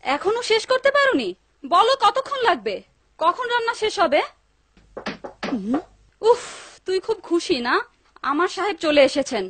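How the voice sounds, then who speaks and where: A woman's voice: very high voice, sing-song, moderate pace, pauses often, fairly bright tone, full character voice. A woman playing a bossy, suspicious housewife in a dubbed cartoon, with a demanding way of talking. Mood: impatient, scolding. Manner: demanding, scolding. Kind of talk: Bengali-dubbed cartoon acting.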